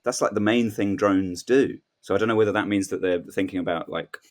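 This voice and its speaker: Low-pitched man rambling whimsically in comic chat. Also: a little fast. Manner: rambling, whimsical anecdote telling with acted-out quotes. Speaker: comedy podcast guest.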